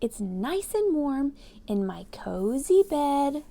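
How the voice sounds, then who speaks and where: Lady doing a high voiced grumpy groundhog guy from a kids book, stubborn, stretches his words out. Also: very animated, slow pace, mellow, exaggerated voice. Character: stubborn, grumpy male groundhog who warms to fun. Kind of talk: children's picture book read-aloud.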